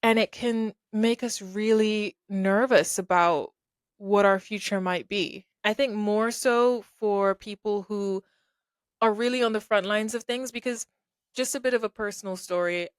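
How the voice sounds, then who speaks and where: Young woman podcaster from Barbados, earnest, conversational, mid-pitched, dry close-miked sound. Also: unhurried, clear, resonant tone, no room sound. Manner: earnest, conversational, thinks aloud with restarts. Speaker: podcast host. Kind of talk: reflective solo podcast talk.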